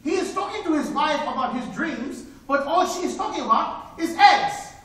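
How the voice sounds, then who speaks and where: A man's voice: very high voice, expressive, normal speed, sharp tone, voice pushed up, exaggerated voice. A man on a live stage voicing an immigrant dishwasher father, telling the story in broken English with chuckles. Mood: storytelling. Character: immigrant male dishwasher, older father, wry and wide-eyed. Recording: echoey theater, heard from far off.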